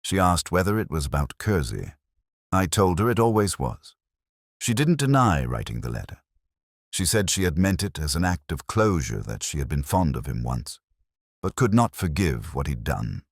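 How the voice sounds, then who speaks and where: A man with an extremely low voice narrates detective fiction in a measured, brooding way. His pitch rises and falls expressively through each literary sentence. The sound is close and dry, with almost no room around it. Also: sing-song, dry recording. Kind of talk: scripted first-person detective narration.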